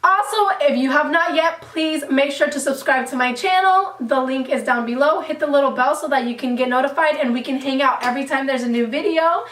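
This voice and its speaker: A woman's voice: sits high, fairly quick, hardly any pauses, a bit bright. A woman hosting her channel, chatting in an upbeat, playful way. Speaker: YouTube channel host. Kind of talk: casual chat. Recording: echoey room, mic at a distance.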